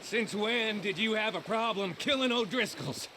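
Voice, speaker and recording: An actor playing a cocky, eager outlaw sidekick in a western game, folksy and boastful, with an American Western accent. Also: male voice, sits high, moderate pace, noisy background, close to an everyday voice. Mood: wry. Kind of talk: cinematic western game acting. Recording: loud music behind the voice. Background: loud music.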